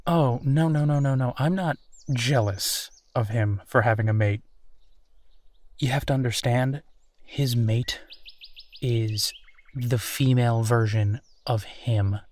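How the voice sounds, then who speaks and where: Slow, hesitant man acting as a werewolf. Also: medium pitch, darkish tone, natural voice. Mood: disgusted, exasperated. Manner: halting, hesitant, sighing, conversational. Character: easygoing young male werewolf, awkward, candid, bitter about rival. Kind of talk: intimate audio roleplay to the listener.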